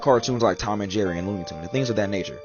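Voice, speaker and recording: A man with a deep voice hosts in a casual, halting way. The recording is dull and lo-fi, with clear music behind him. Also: darkish tone. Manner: halting, repeats "you know". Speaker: video series host. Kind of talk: casual spoken commentary. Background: background music.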